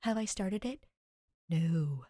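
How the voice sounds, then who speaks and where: A woman's voice: fairly low pitch, animated, slow pace, mellow, dry recording, clean studio sound. A young adult woman reading fiction aloud and chatting informally as host of her reading channel. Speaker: podfic reader and channel host. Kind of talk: story narration and chatty sign-off.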